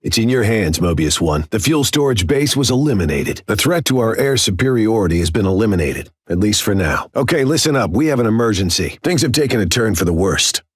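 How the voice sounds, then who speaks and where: Military briefing officer for a combat flight game: composed, stern man, lowish pitch, formal and commanding, dry studio sound. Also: lively intonation, breathy edge, no room sound. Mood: grave, resolute. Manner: authoritative, formal, measured military delivery. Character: stern, composed male air force commander briefing pilots. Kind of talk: scripted video game mission briefing.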